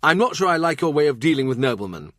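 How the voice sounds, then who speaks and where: A man reading a novel puts on a blustering nobleman, blunt and nostalgic. The voice is pushed higher and comes across emphatic, in a very dry recording. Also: sing-song, quick pace, fairly bright tone, no room sound. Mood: challenging. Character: blustering, nostalgic nobleman, old friend of the king.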